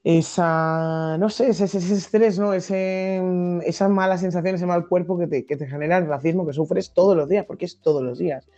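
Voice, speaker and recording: A young woman from Madrid with a Madrid accent, a podcast guest explaining her ideas thoughtfully, with many pauses and restarts. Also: on the low side, steady tone, warm tone, soft background music. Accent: Madrid Spanish. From Madrid, Spain. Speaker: guest activist. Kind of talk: podcast interview.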